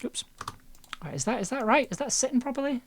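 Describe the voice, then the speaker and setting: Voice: mid-range voice, lively intonation, fast, loud music behind the voice. A livestream host chatting casually while editing audio, rambling and often repeating words.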